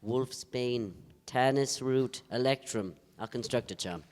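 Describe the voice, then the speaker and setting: A man's voice: medium pitch, a little slow, darkish tone, close to an everyday voice. A man in a staged play reading, playing a solemn, grandiose psychic who speaks in a formal, portentous way. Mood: earnest, portentous. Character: solemn, grandiose self-styled psychic medium named Joe. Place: theatre stage. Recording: reverberant theatre hall recording.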